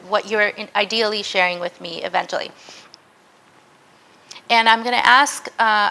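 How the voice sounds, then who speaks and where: Bright-voiced woman teaching a workshop. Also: medium pitch, vocal fry, rough recording. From Mexico. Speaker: university professor. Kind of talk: interactive workshop presentation.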